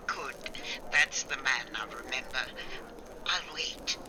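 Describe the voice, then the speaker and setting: A woman's voice: extremely low pitch, slow. A woman playing a spy's wistful, teasing young sweetheart in a 1940s radio drama. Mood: fond, resigned. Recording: clear ambient noise behind.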